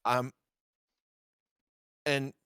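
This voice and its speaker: A man's voice: medium pitch, level tone, extremely slow, pushed and bright, smooth, dry recording, studio quality. A man playing a tabletop game who stammers and repeats words as he asks questions. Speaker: player. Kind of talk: casual game chat.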